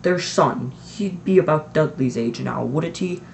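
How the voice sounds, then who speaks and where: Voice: mid-range voice, a little slow, gravelly, a little hiss or hum. A reader voicing a nervous middle-aged husband who mumbles and hesitates. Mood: uneasy. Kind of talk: storybook character voice.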